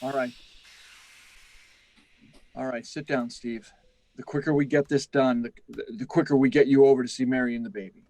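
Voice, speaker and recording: An actor playing an aging, anxious, guilt-ridden test-flight chief in a radio drama, gruff, halting and urgent, often repeating himself. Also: male voice, medium pitch, fairly even intonation, warm tone, close to an everyday voice. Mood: startled, urgent. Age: older. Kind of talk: live radio drama acting.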